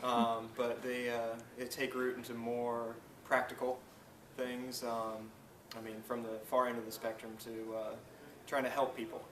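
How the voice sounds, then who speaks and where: A twenty-one-year-old college student from North Carolina giving thoughtful, earnest interview answers. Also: male voice, mid-range voice, completely flat delivery, a little slow, a bit bright. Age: 20s. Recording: roomy, distant mic, background noise.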